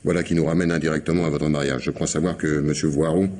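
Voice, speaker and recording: An actor playing an insistent police commissioner in a radio mystery, gruffly courteous, muttering hesitations before abrupt questions. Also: male voice, a bass voice, little pitch movement, quick pace, darkish tone. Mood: probing. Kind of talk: French radio mystery play acting.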